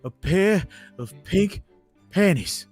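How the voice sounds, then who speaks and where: A young man telling a casual personal story as a guest, halting and repeating words as he quotes dialogue. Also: high voice, expressive, dry recording. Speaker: livestream guest. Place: online voice chat on a livestream. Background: music playing behind the voice.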